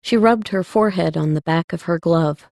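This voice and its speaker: A woman's voice: fairly low pitch, moderate pace, creaky, a little mellow, no room sound, clean studio sound. A woman narrating a scripted story as an audiobook reader.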